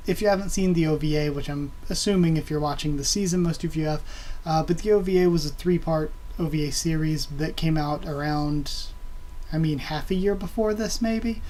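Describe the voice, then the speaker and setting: A host reviewing an anime episode in a casual, rambling way, their voice a bit raspy and quiet from a cold. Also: mid-range voice. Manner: rambling and casual, with many fillers and blunt swearing.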